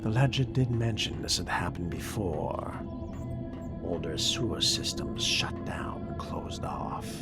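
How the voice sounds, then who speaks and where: Man playing a deep, slow, sardonic vampire. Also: expressive. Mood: brooding, musing. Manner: droll, theatrical, formal old-fashioned diction. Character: haughty, sardonic male fledgling vampire, coolly menacing. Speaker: gamer role-playing a character. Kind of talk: in-character video game role-play monologue. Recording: loud music over the voice. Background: loud game music.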